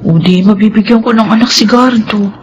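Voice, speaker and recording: A woman's voice: mid-range voice, steady tone, a little fast, darkish tone, highs cut off, slight background noise, natural voice. A woman playing an anxious, deferential wife in a radio drama, hesitant and pleading, with a Filipino accent. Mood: apologetic, anxious. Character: anxious, deferential infertile wife and daughter-in-law. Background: music.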